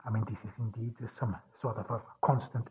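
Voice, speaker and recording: Husky man, halting monologue on tinny line. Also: mid-range voice, fairly even intonation. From Austria. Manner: halting, many restarts and fillers. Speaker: podcast host. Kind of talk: solo spoken monologue.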